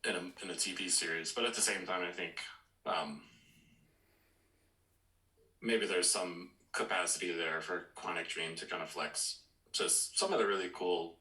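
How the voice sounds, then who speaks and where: A man co-hosting a podcast, thinking aloud in a measured, hedging way during casual discussion. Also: deep voice, pushed and bright. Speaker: podcast co-host. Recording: echoey room.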